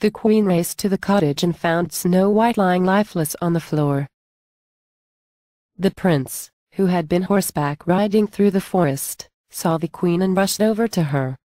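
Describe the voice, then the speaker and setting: A woman's voice: on the low side, measured pace, warm tone, no echo. A woman reading scripted narration for a storybook tale.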